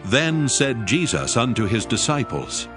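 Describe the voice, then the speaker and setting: Expressive male scripture narrator. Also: medium pitch. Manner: measured, formal storytelling. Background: music.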